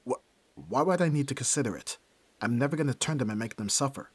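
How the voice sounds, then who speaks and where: A man's voice: medium pitch, animated, quick pace, breathy edge, no room sound. A man playing a brooding, protective young vampire boyfriend in an ASMR audio roleplay. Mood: conflicted, worried.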